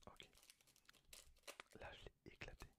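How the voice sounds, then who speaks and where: Hushed, breathy ASMR whisperer. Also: takes it slowly. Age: 20s. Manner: hushed, casual asides. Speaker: ASMR video creator. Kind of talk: whispered ASMR card-opening commentary. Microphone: close handheld-recorder microphone.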